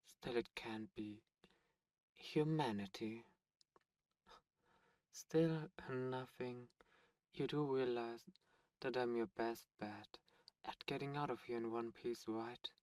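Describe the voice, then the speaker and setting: A man playing a smug, teasing demon in an ASMR roleplay, speaking in a taunting, deliberate way with drawn-out pauses. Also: medium pitch, a little mellow, dry recording, far from the mic, close to an everyday voice, rough recording. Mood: intrigued. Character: smug teasing male demon buyer at a captive auction. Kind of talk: ASMR roleplay audio drama.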